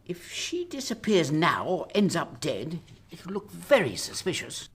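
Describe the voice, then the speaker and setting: A woman acts an exasperated female political leader in a radio drama. Her voice is lowish and husky, with lively swings. She is sarcastic, emphatic and quick to scold. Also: full character voice. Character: exasperated, sharp-tongued female Prime Minister under pressure.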